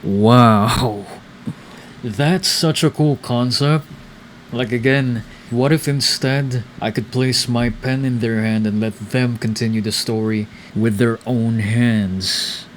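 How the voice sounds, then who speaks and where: A man's voice: mid-range voice, steady tone, slow pace, slight background noise. A man giving casual, informal reaction commentary on a game story, musing and repeating himself thoughtfully as he goes.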